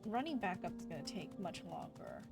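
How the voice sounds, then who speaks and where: A woman streaming a video game live, thinking aloud in casual, chatty commentary that turns excitable. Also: on the low side, moderate pace, gravelly, over loud music.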